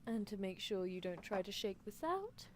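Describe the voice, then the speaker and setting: A woman's voice: mid-range voice, sing-song, normal speed, very noisy, close to an everyday voice. A woman voicing an obsessive mad scientist who coaxes and condescends to a captive love interest in an ASMR roleplay, with menacing affection. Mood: clinical, possessive.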